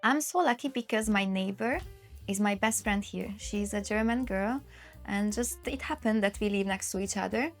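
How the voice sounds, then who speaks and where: A woman in her late twenties from Hungary, speaking English with a Hungarian accent in a lively, chatty interview, full of "like" fillers. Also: mid-range voice, moderate pace, soft background music. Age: late 20s. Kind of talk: casual interview chat.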